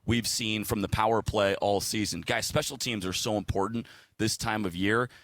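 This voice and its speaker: A man with a fairly low voice talks through sports analysis like a broadcaster on a show. He explains points casually and conversationally, often checking that he is being followed. The sound is dry, with little room in it. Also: fairly even intonation, normal speed, creaky, fairly bright tone, dry recording.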